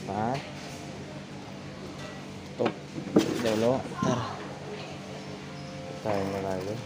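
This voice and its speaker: A man's voice: lowish voice, slow pace, over loud music. A man chatting casually to viewers as he works with his hands, counting aloud as he goes, recorded on a handheld phone outdoors. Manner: relaxed, talks to viewers while working, counts aloud. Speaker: betta fish breeder vlogger. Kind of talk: casual hands-on vlog narration. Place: outdoors in a concrete yard. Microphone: handheld phone camera, filmed first-person.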